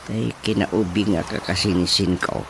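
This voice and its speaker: A man acting a stubborn elderly father with drawn-out interjections in an Ilocano radio drama, with music underneath. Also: low-pitched, steady tone, gravelly, background noise. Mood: weary, reflective. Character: stubborn elderly father, set on leaving home.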